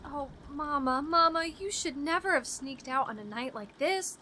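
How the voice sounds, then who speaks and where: An actress playing an unsuspecting, caring wife in a radio horror drama, with a folksy, fussing, bossy manner. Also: female voice, sits high, normal speed, bright, forceful tone. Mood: fussing concern. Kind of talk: old-radio drama acting. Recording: noisy background ambience.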